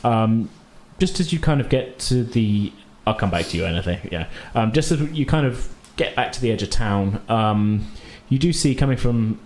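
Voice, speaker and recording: Low-voiced man running a tabletop game. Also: expressive, normal speed, hardly any pauses, darkish tone. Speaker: game master. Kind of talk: improvised tabletop game narration. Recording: faint background noise.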